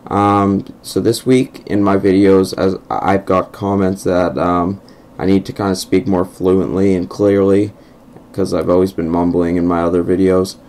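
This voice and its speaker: A young man with a croaky voice narrating a casual game walkthrough over his screen recording, hesitant and full of ums. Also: low voice, level tone, normal speed, darkish tone. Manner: hesitant, many ums and restarts. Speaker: gameplay video host. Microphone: computer microphone over screen recording.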